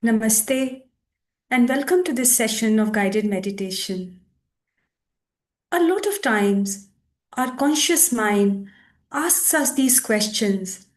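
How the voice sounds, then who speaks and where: A woman leading a guided meditation as a teacher, speaking in deliberate, measured phrases with pauses. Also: on the high side. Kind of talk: guided meditation with reading aloud and instructions.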